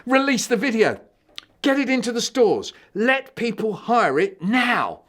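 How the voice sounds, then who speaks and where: A man's voice: on the high side, very animated, slow pace, a bit bright, pitched above the performer's own voice, heavily acted. A man voicing a theatrical young boy who puts on a grand royal voice in a storybook reading. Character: theatrical young boy doing a Queen Victoria voice.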